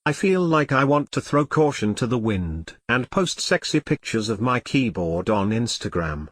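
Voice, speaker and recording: A man's voice: medium pitch, big pitch swings, normal speed, no room sound, clean studio sound. A synthetic male text-to-speech voice playing a melodramatic, easily flustered computer turned elephant in an audio comedy drama. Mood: eager, whimsical. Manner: theatrical complaining in synthetic text-to-speech delivery.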